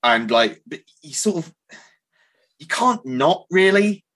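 A man's voice: fairly high pitch, very animated, slow, a bit bright. A British man in his twenties, a guest actor in a casual podcast chat, talking in a chatty, self-mocking way. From Britain.

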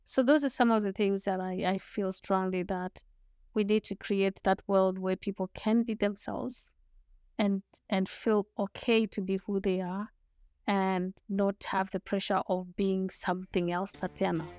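A woman's voice: medium pitch, fairly even intonation, unhurried, darkish tone, no room sound, clean studio sound. A woman from Zimbabwe with a Zimbabwean accent, a native Shona speaker, telling her story as a podcast guest in an earnest, thoughtful way. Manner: earnest, thoughtful, frequent restarts and small laughs. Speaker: guest, lawyer. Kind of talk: podcast interview, reflective storytelling.

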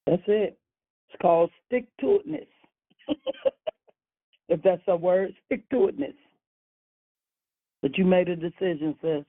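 A woman in her sixties hosting a church prayer call, slowly encouraging callers with amens and hallelujahs. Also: fairly low pitch, lively intonation, dry recording. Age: 60s. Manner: slow, encouraging, churchy exclamations. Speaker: prayer line host. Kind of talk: church phone call fellowship. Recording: phone line.

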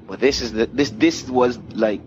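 A man's voice: mid-range voice, moderate pace, a little mellow. A man, a DJ guest telling casual anecdotes in an interview and acting out the dialogue. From Caribbean. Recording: muffled, clearly noisy room recording.

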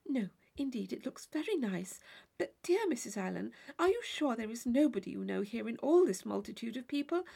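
A woman voicing a polite, uneasy young gentlewoman in an audiobook, speaking in a hushed, earnest, genteel way. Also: on the high side, big pitch swings, normal speed, vocal fry, exaggerated voice. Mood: earnest, hopeful.

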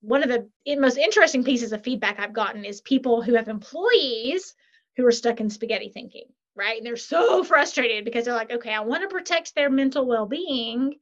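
A woman, a podcast guest and author, chatting in an animated, upbeat way and often checking in with "right?". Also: a little high. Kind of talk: casual interview chat. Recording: lo-fi muffled sound.

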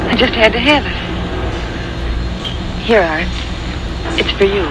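A woman's voice: mid-range voice, unhurried, heavy background noise, close to an everyday voice. An actress playing a devoted, emotional young woman in love in an old-time radio drama, earnest and wistful. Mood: tender. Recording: old radio recording with music behind.

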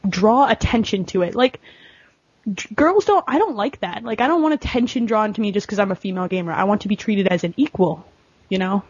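A woman's voice: medium pitch, expressive, brisk, slightly rough, no room sound. A woman chatting casually and bluntly on a panel, with some mild swearing. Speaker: podcast guest and female gamer. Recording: dull, lo-fi voice recording.

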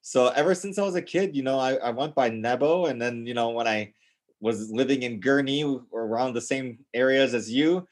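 A man's voice: medium pitch, moderate pace. An American man speaking as a podcast guest in a casual interview, informal and enthusiastic, often repeating words for emphasis. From United States, north of Chicago. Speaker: podcast guest, Greek Orthodox priest.

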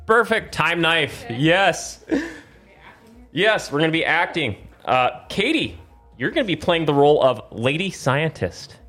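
A man hosting a casual talk show, excitedly rambling with stammers and slipping into hammy script narration. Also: fairly high pitch, unhurried. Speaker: show host. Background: suspenseful crime music playing in the background.

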